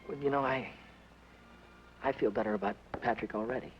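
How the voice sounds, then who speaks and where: A man's voice: on the low side, fairly even intonation, fairly quick, natural voice. An actor playing Denny, a timid and nervous young man who stammers, babbles and blurts things out, in 1940s radio-play style. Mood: hopeful. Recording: dull old radio recording with faint hiss.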